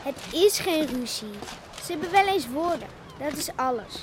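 A young girl, the serious and dutiful sister of a family. Her voice is high and earnest. The old radio play recording has clear noise over it. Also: expressive, normal speed. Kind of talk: Dutch radio play acting.

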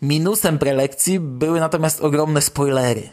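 A man's voice: on the high side, normal speed, a little mellow. A man hosting a podcast, giving conversational commentary. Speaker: podcast host.

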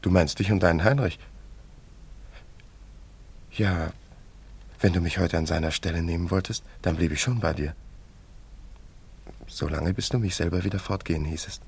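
A man playing a courteous, smitten young painter in a German radio play, speaking in a soft, earnest manner. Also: low voice, moderate pace, creaky, pauses often, breathy edge, heavily acted. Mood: wistful, courting.

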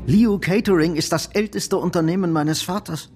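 An actor playing an ashamed, soft-hearted bankrupt son in a German radio play, halting and confessional. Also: male voice, high voice, over music, close to an everyday voice. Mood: ashamed, emotional.

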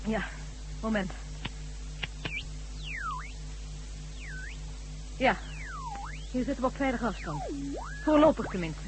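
A woman's voice: a little high, wildly swooping pitch, moderate pace, music in the background, rough recording. A woman playing a precise, alert scientist in a radio drama, clipped and technical yet dramatic, on an old recording. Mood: cautious, concerned. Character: precise, alert female scientist doctor reporting alarming readings.

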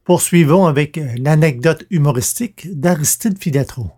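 A man's voice: a little high, normal speed, a little mellow. A man reading scripted audiobook narration as the presenter and narrator.

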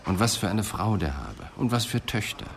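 A man's voice: lowish voice, sing-song, normal speed, creaky, slight background noise. A man playing a pensive, dryly ironic police detective in a radio crime drama, speaking in a thoughtful, clipped way. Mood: dry, alert.

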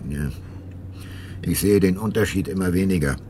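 An actor playing an ageing, remorseful detective and former prosecutor in a radio drama, narrating and talking in a measured, reflective way. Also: male voice, sits low, warm tone, soft background music, close to an everyday voice. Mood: confessional. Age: older. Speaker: narrator and lead character.

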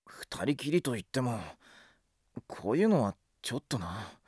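Animated high school boy, casual, blunt, kind-hearted, wide intonation, anime audio drama. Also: a little slow, breathy edge, no room sound, studio quality. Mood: uneasy, musing. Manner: blunt, informal boyish speech.

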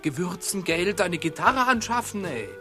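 A man's voice: high voice, big pitch swings, normal speed, sharp tone, faint music behind, heavily acted. A man playing a whiny, fed-up, beardless young sailor in a satirical radio drama.

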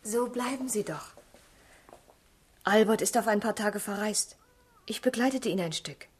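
A gentle young lady in a literary radio play. Her voice is medium-pitched, soft and very breathy. She sounds caring yet restrained. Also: a little slow. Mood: tender, restrained. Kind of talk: German literary radio drama.

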